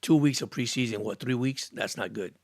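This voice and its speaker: Gravelly-voiced man near sixty, rambling. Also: mid-range voice, fairly quick, dry recording, studio quality. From Cuba, raised in Miami. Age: about 60. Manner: rambling, repeats words and restarts, earnest. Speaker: live stream sports host. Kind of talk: casual live stream chat, reading viewer comments. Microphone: studio microphone.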